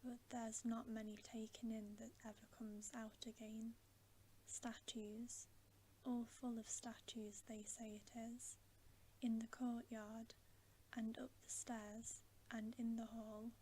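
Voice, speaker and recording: A woman whispering the part of a gloomy, knowing old male beaver in a storybook, in slow, homely speech. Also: fairly high pitch, little pitch movement, warm tone, slight background noise.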